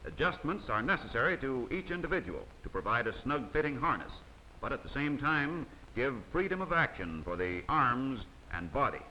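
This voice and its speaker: A man reading scripted narration for an instructional training film in a formal, measured, instructive manner. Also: medium pitch, very animated, pushed and bright, poor audio. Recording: faint hiss of old 16mm optical soundtrack.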